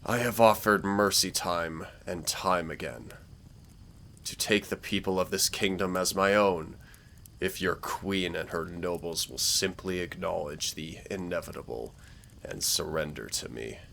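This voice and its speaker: A man performs a villainous warlord with a very low, unhurried voice and a creaky edge. He is menacing yet persuasive, with theatrical, condescending delivery suited to a fantasy roleplay drama. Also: bright, forceful tone, a little hiss or hum, full character voice. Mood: scornful, indignant. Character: menacing, smooth-talking male dark warlord villain invading dreams. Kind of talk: scripted roleplay audio drama, villain monologue.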